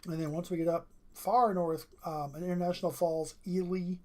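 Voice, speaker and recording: A weather livestreamer giving steady running commentary over radar maps, with a strained voice and frequent throat clearing. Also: medium pitch, moderate pace.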